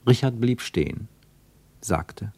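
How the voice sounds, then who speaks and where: A man reading literary prose as the narrator of a radio play, measured and deliberate, with dramatic emphasis. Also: fairly low pitch, mellow, slightly rough, dry recording.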